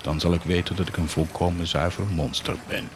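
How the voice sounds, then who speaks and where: A man acting a deep-voiced, cruel mocking warlord. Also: fairly even intonation, background noise, lightly acted. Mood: brooding, menacing. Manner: sardonic, declamatory, with dramatic pauses. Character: cruel, blasphemous male warlord, mocking and grandiose. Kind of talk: theatrical radio drama acting.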